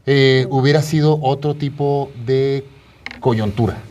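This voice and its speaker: A man's voice: medium pitch, fairly even intonation, takes it slowly, a little mellow, highs cut off. A man with a Mexican accent co-hosting a studio program and giving conversational news commentary. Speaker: co-host commentator. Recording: voice distant from the microphone.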